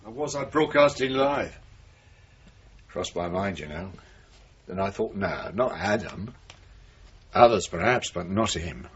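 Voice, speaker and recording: An actor playing a middle-aged British police chief, bitter and resigned, grumbling hesitantly in a radio drama. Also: male voice, low-pitched, animated, unhurried, pauses often, slightly rough, exaggerated voice. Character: weary, bitter male police chief being arrested. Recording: dull lo-fi radio drama recording.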